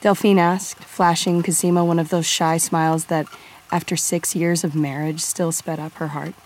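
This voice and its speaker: Low-voiced woman narrating fiction. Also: little pitch movement, normal speed, darkish tone. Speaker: audiobook narrator.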